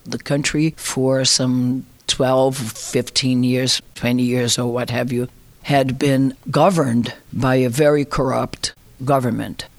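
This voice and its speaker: A woman's voice: very deep voice, steady tone, slow pace, no echo. A woman in her sixties from Brazil, with a Brazilian accent, telling personal stories in a reflective, conversational way as an interviewee. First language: Portuguese. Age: 60s. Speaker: interviewee, immigrant.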